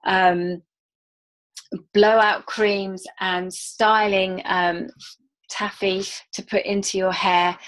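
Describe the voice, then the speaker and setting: Female webinar presenter, medium pitch, conversational product pitch with halting phrasing. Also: a bit bright. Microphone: online webinar microphone.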